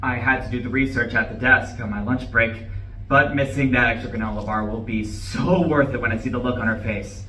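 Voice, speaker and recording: Guy acting nervous husband in a stage play, bright voice, rambling excuses, echoey and far from the mic. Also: medium pitch, fairly even intonation, brisk, natural voice. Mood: eager, rambling. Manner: rambling, hesitant, self-correcting. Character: nervous, rambling, self-justifying husband riding home with flowers. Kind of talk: live play reading, dramatic monologue. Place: room at a live play reading. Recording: echoey distant live room recording, faint noise.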